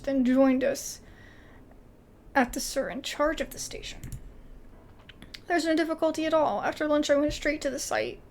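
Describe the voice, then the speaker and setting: A woman's voice: high voice, vocal fry, slight background noise. A woman doing casual video game commentary and reading dialogue aloud, with informal, exclamatory asides.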